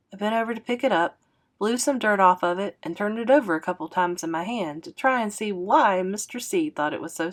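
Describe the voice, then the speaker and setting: A woman reading a children's novel aloud as a teacher, in an expressive narrating style. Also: medium pitch.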